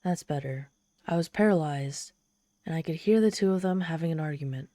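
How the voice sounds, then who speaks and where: A woman's voice: low-pitched, mellow, dry recording, clean studio sound, natural voice. A woman playing a proud, easily flustered female demon in an ASMR roleplay, telling her story in a haughty, theatrical manner. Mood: grudging, irritated. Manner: haughty, theatrical, halting when embarrassed.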